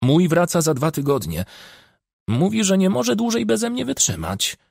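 A man's voice: high-pitched, very animated, normal speed, creaky, darkish tone, slightly rough, no room sound, clean studio sound, pitched above the performer's own voice, heavily acted. A man voicing a troubled, discontented young woman with a complaining, pleading manner in an audiobook.

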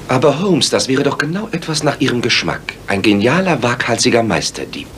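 An actor playing an earnest, polite gentleman sidekick who also narrates in an audio drama. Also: mid-range voice, big pitch swings, a little fast, slight background noise. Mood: earnest, concerned.

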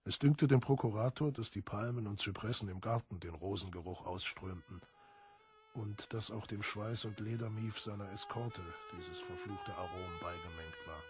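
A man with a husky, raspy voice narrating a literary audiobook from a script. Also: on the low side, moderate pace.

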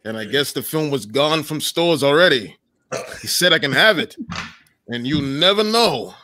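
A man's voice: a little high, expressive, moderate pace, sharp tone, slight background noise. A man acting a suave, suspicious scoundrel in a comic table read. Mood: scheming. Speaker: actor in live script table read. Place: home room, video call. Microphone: desk microphone, close.

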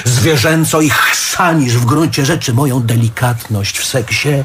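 A man's voice: mid-range voice, unhurried, noisy background, natural voice. An actor playing a jealous, wounded, fussy man in a stage drama, nagging and accusing in a repetitive way. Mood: bitter. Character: jealous, wounded man leaving his lover, brooding and fussy. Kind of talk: theatrical stage dialogue.

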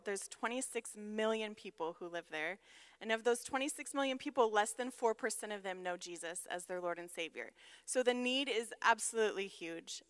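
A woman's voice: medium pitch, fairly bright tone, smooth, dry recording. A woman in her thirties from Washington State, a missionary telling her story to a church congregation in an earnest way. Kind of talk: church testimony. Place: large church stage.

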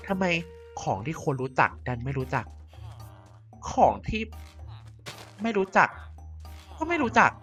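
A woman's voice: deep voice, wildly swooping pitch, unhurried, pauses often, a bit bright, soft background music, heavily acted. Scheming ancient vampire queen. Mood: gleeful. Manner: playful, scheming, muttering to herself. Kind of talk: Minecraft cartoon voice acting.

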